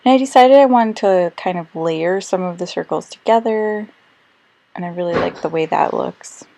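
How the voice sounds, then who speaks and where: A woman who is slightly hoarse and losing her voice, chatting casually through a crafting voice-over with lots of ums and little self-corrections. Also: lowish voice, normal speed, mellow, a little hiss or hum. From Australia. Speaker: scrapbooking crafter. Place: quiet room, recorded voice-over.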